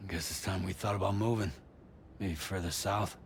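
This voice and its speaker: An actor playing a weary, guarded man in hiding who cares for a baby, speaking tersely in a gruff mutter in a video game. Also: fairly even intonation, moderate pace, slight background noise. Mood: dry, guarded. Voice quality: gruff, low. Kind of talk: naturalistic video game acting.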